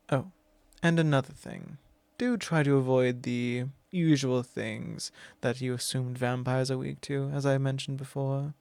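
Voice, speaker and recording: A man voicing a possessive, commanding male vampire lord in an ASMR roleplay, speaking slow, low and intimate, often in a whisper. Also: creaky, a little mellow, no echo.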